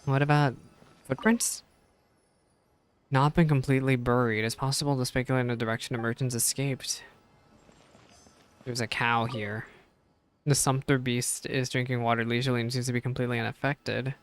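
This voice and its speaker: A man's voice: medium pitch, little pitch movement, moderate pace, pauses often, clean tone, soft background music. A man giving casual live gameplay commentary as a streamer, self-deprecating and thinking aloud. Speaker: game streamer. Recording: stream with faint background noise.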